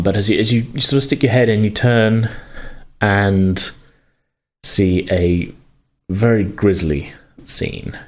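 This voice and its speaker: A man narrating a tabletop horror game as game master, phrasing things hesitantly as he improvises. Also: low voice.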